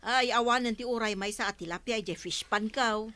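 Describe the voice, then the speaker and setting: Nosy councilor lady, bright dry voice, kinda highish. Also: moderate pace, dry recording. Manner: suspicious, scolding. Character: sharp-eyed woman councilor keeping fish. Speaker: village councilor. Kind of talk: radio comedy drama acting.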